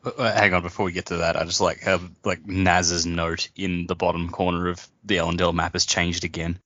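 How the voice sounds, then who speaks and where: A man with a deep but bright, forceful voice adds joking asides to a casual panel chat. The recording is dry and slightly dull. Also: little pitch movement, a little fast, dry recording. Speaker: podcast panelist.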